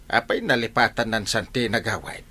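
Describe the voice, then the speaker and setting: A man acting an excitable, scheming uncle in a village comedy drama, with an Ilocano accent and a blustering, exclamatory manner. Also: on the low side, moderate pace, close to an everyday voice. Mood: scheming, grumbling. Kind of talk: comic radio-drama acting. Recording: faint ambient background noise.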